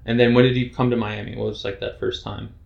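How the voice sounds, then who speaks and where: A man's voice: on the low side, level tone, fast talker, creaky. A male host asking curious, rambling questions in a casual interview. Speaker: podcast host. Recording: roomy, dull lo-fi sound.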